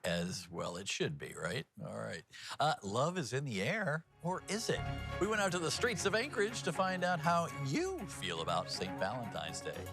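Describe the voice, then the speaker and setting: A man's voice: mid-range voice, very animated, moderate pace, music in the background. A man anchoring a TV newscast in a jokey, folksy, conversational way, moving between banter and scripted reads. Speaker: TV news anchor and feature reporter.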